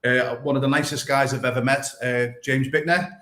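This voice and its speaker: A man's voice: medium pitch, fairly even intonation, fairly quick, a bit bright, reverberant. A man hosting a live podcast interview, effusive and full of fillers like "obviously" and "brilliant". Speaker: podcast host.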